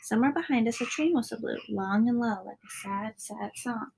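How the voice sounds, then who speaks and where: A woman reading a children's story aloud as a narrator, sometimes dropping into a hushed tone at the ends of lines. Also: a little high, brisk, gravelly, background noise. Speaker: storybook reader.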